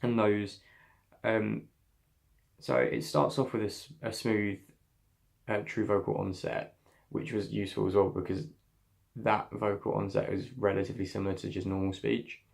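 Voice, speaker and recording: A young man with a slightly deep, heavily gravelly voice talks through his scene work. His delivery is thoughtful and halting, full of fillers and restarts as he searches for words. He sounds like a drama student reflecting on his practice. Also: fairly even intonation, darkish tone. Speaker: acting student.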